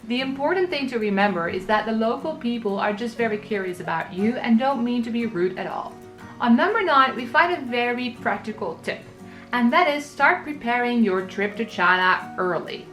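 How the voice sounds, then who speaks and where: A woman from the Netherlands in her thirties giving travel tips in a scripted vlog, explaining clearly and practically. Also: a little high, animated, moderate pace, a bit bright, soft background music. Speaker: travel vlogger.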